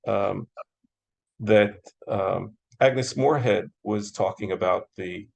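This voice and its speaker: A man's voice: on the low side, takes it slowly, gravelly. An American man hosting a casual interview, chatting with eager enthusiasm and agreeing readily. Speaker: interviewer, moderator. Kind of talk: casual interview chat about classic radio.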